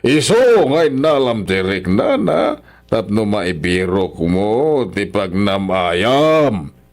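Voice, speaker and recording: A man's voice: lowish voice, very animated, takes it slowly, faint music behind, heavily acted. A man playing a stern, scornful, wealthy older father-in-law in an Ilocano radio drama, scolding haughtily with an Ilocano accent. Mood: angry, accusing.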